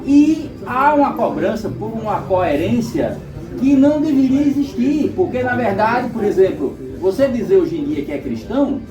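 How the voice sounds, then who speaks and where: A man, explaining his views at a panel in a measured way, full of rhetorical questions. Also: very high voice, expressive. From Rio Grande do Norte, Brazil. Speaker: panel speaker. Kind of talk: lecture-like discussion. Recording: echoey room, distant mic, music behind.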